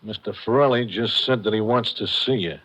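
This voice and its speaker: An actor playing a surly, self-important nightclub tough in a 1950s radio drama, slangy and repetitive, with an American accent. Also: male voice, lowish voice, fairly quick, noisy background, close to an everyday voice. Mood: menacing. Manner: belligerent, slangy, repeats himself. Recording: old radio broadcast with music.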